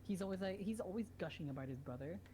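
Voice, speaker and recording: A woman with a low-pitched voice talks casually on a live stream. She rambles with animation, repeats herself and swears without care. Noticeable noise sits behind her voice. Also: lively intonation, quick pace. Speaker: streamer telling friends a story idea. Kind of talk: casual chat on a voice call.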